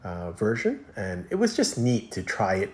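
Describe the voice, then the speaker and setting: Male food reviewer, low voice, casual and explanatory voice-over, somewhat hesitant and rambling. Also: wildly swooping pitch, normal speed, darkish tone.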